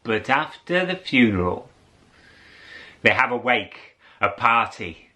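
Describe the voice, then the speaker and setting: Animated male storyteller with wide swooping pitch. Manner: theatrical, with dramatic pauses and drawn-out words. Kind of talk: animated oral storytelling to an audience.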